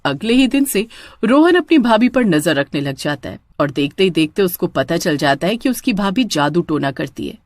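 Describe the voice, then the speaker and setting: Animated woman storyteller, close and dry. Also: fairly low pitch, moderate pace, no echo. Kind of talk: scripted story narration.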